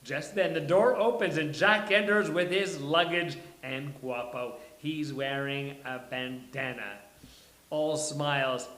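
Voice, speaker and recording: A man narrates a script's stage directions as host of a live table read. His voice is fairly high and unhurried, with clear room echo around it. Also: a bit bright. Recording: roomy live recording.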